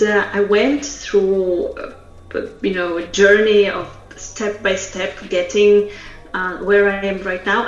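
A woman from Russia, a public speaking trainer telling her story as an interview guest, slow and thoughtful with many hesitations. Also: mid-range voice. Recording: roomy echo, dull lo-fi sound, faint music.

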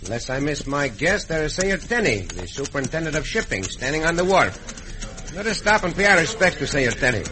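An actor playing a suave, courteous Mexican cowboy adventurer, speaking in formal, courtly phrases in an old radio western. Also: male voice, a little high, very animated, brisk. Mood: good-humored. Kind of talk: classic radio western acting. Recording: noisy old radio recording.

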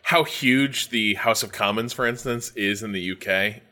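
A man co-hosting a podcast in relaxed, wry casual chat. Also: fairly low pitch, moderate pace. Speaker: podcast co-host.

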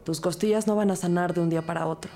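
Actress as a low-voiced earnest woman detective. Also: fairly even intonation, fast, warm tone, faint music behind, natural voice. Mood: soothing, protective. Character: loyal, resolute female police detective narrating her case. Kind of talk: audio fiction acting and scripted narration.

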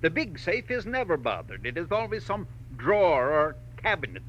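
An actor playing an exacting middle-aged millionaire mine owner in a 1940s radio drama, emphatic and deliberate in his phrasing. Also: a little high, big pitch swings, fairly bright tone. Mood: troubled, emphatic. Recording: dull, hissy old radio recording.

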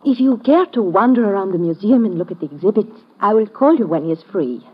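An actress playing a polite, earnest museum assistant, speaking formally and carefully in an old radio drama. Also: female voice, medium pitch, animated, quick pace, very noisy, lightly acted. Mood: courteous, earnest. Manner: courteous, formal, careful diction. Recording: dull old radio recording.

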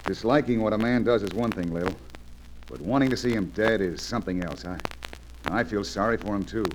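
An actor playing a steady, decent male adventure hero in an old radio serial, firm, reassuring and plain-spoken. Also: fairly low pitch, brisk, lightly acted. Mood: somber. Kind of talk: old-time radio drama acting. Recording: noisy old radio recording.

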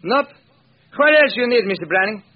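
A man's voice: high-pitched, lively intonation, moderate pace. An actor playing an eager, plain-spoken immigrant greengrocer in an old-time radio drama, speaking broken English in short salesman's phrases. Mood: puzzled. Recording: muffled, hissy vintage radio recording.

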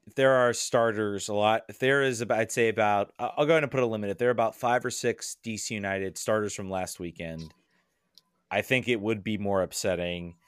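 Podcast guest, male, low-pitched, dry close mic; loose rambling fan talk with frequent restarts. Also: a little fast, clear, resonant tone, no echo. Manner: rambling, many restarts and fillers. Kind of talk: casual podcast chat.

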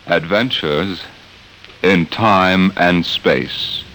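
A man's voice: low-pitched, drawn-out words, a bit bright, slight background noise, low-quality recording. A man announcing and narrating in a theatrical, portentous 1950s radio drama style. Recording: vintage radio broadcast with music behind. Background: music.

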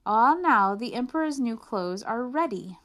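Sneaky con-man weavers, all syrupy and slow, buttering up the king, played by a lady. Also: a little high, pitched above the performer's own voice. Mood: pleased. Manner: slow, drawn-out flattery. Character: scheming male swindler weavers, gushing.

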